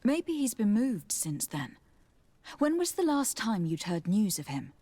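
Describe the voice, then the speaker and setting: A woman's voice: mid-range voice, big pitch swings, unhurried, warm tone, slightly rough. A woman voicing a poised, practical noble diplomat in a video game, formal and assured. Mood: inquisitive, practical.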